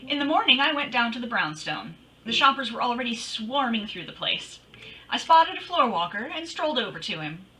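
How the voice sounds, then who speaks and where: A woman's voice: on the high side, sing-song, a little fast, bright, forceful tone, recorded from a distance, faint music behind, low-quality recording. A woman playing a wry, wisecracking private detective in an old-time radio drama. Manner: wry, snappy.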